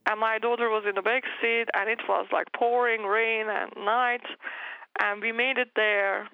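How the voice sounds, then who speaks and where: A woman whose native language is Turkish tells her story as an interview guest, earnest and full of restarts. Her voice has a gravelly edge. The recording is dry and somewhat muffled. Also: a little high, steady tone, moderate pace, dry recording. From Turkey. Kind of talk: personal testimony interview.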